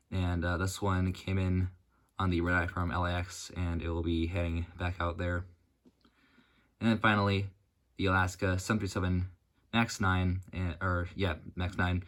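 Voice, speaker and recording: A young man with a sore, dry throat casually narrating a walkthrough of his model airport, rambling with many fillers and self-corrections. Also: low-pitched. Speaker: model airport hobbyist showing his build.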